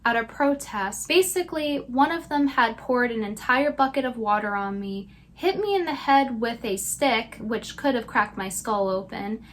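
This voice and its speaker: A woman's voice: fairly high pitch, a bit bright. A woman delivering scripted commentary in a sarcastic manner. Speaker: video commentator.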